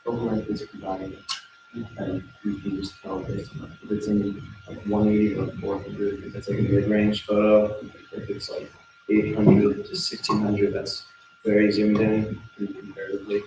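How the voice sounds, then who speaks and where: A man's voice: lowish voice, monotone, normal speed. A young man, a student presenter, giving an informal explanation during a presentation. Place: large echoey indoor room. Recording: very echoey room, distant mic, noisy.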